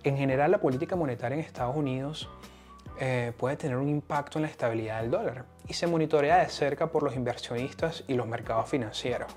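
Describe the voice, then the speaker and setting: A man's voice: mid-range voice, fast, darkish tone, faint music behind. A man with a Venezuelan accent hosting an explanatory podcast in a partly scripted monologue. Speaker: podcast host.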